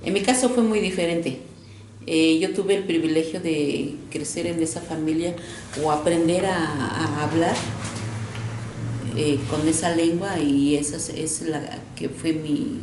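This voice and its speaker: A woman from Mexico, a teacher whose first language is Otomi, recounting her schooling in a measured, hesitant way. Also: deep voice, steady tone, echoey room, noisy background. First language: Otomi (Hñähñu). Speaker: teacher, interviewee.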